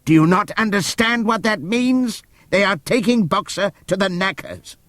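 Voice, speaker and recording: A man voicing an agitated, furious old donkey in an audiobook, shouting urgent and scornful warnings. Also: high voice, animated, normal speed, no room sound, voice pushed up.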